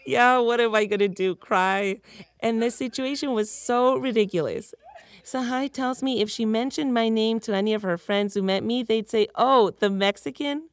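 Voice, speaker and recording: A woman's voice: fairly high pitch, normal speed, dry recording. A woman, a reporter narrating a podcast story in a candid, personal way. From United States (Miami). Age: 30s.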